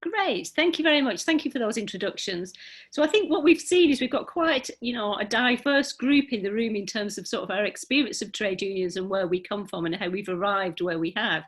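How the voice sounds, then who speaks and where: A woman's voice: medium pitch, a little fast. A woman chairing an online academic panel, chatty and often filling her sentences with "you know". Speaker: session chair. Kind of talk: moderating a conference panel.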